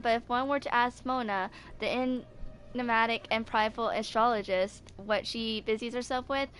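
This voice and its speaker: Woman in her twenties, slightly high voice, halting read-aloud, casual gamer commentary, faint background noise. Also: fairly bright tone. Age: 20s. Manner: halting, stumbles over words. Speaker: amateur gaming video creator. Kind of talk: reading game text aloud with casual asides.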